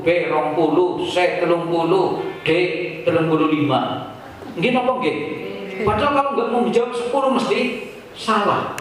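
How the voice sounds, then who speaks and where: A man with a Banyumasan Javanese accent giving an informal, didactic speech to teachers, full of rhetorical questions and colloquial Javanese. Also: high voice. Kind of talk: informal public address to teachers. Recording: echoey room from far off, faint noise.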